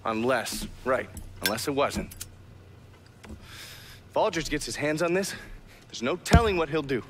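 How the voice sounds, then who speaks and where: A game character, this operative is gruff and worldly. His mid-range voice delivers clipped, cynical briefings peppered with curses. Faint noise sits behind it. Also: medium pitch, big pitch swings, unhurried. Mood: grave, matter-of-fact. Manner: terse, cynical, swearing. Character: gruff, foul-mouthed male intelligence operative briefing his partner. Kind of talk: video game cutscene acting.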